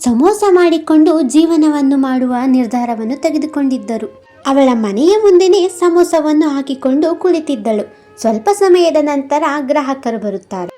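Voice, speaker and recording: High, mellow woman narrator. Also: animated, moderate pace. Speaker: story narrator. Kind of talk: scripted moral-story narration.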